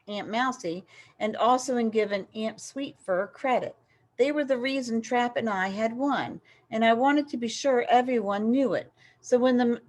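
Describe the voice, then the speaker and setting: A woman with a somewhat high voice reads a children's story aloud. Her delivery is expressive and theatrical, leaning into key phrases and acting out the dialogue. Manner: animated, acts out dialogue with dramatic emphasis. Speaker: storybook reader.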